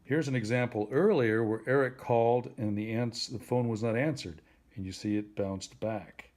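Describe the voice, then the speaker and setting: A man giving a simple tutorial in a plain, deliberate, instructive way, like someone patiently walking a learner through each step. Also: medium pitch, a little mellow. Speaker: instructor helping a phone user.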